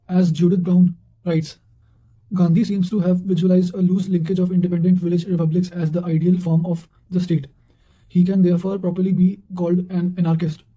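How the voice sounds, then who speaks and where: A man reading study notes and quotations aloud in a measured way, occasionally stumbling over a word. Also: high voice, mellow, low-quality recording. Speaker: narrator of study revision notes.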